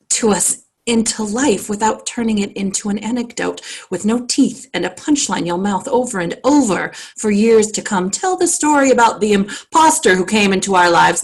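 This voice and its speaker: A cultured, wealthy society wife, played by a woman, speaks in an impassioned theatre monologue. Her voice is husky and creaky. She is intense and reflective, weighing her words with deliberate pauses. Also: mid-range voice, animated. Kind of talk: dramatic stage monologue. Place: online video call.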